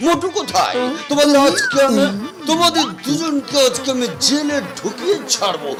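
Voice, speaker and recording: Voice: high-pitched, very animated, fairly quick, music in the background. A performer playing a pompous, repetitive, easily flustered policeman in an exaggerated children's cartoon dub. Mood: angry, threatening.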